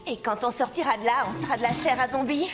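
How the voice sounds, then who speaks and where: A woman's voice: a little high, fast talker, over music, lightly acted. A woman voicing a level-headed, sardonic young cartoon heroine with a dry, no-nonsense manner. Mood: sardonic, brisk. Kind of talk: cartoon character voice acting.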